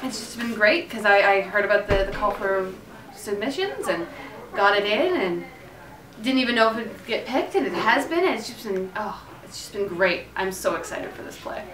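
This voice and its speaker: A woman's voice: mid-range voice, lively intonation, moderate pace, a bit bright, slight background noise. A woman theatre director talking enthusiastically and off the cuff in an interview. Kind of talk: unscripted interview talk. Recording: roomy echo, distant microphone.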